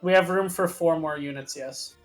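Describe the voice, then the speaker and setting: A man's voice: a little high, normal speed, faint music behind. A man chatting casually and jokingly while playing and commenting on a video game with friends. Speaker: co-player and commentator on a game series. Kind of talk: casual joking chat over gameplay.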